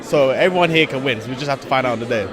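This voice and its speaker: An eighteen-year-old man from London, an esports player answering interview questions with quick, excited talk and casual slang. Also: medium pitch. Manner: excited, fast-talking, loose slang. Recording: noisy crowd behind. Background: crowd chatter.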